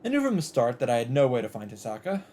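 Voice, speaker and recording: A man reading a visual novel script aloud in an expressive narrating style. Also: mid-range voice, fast, slight background noise. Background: game music.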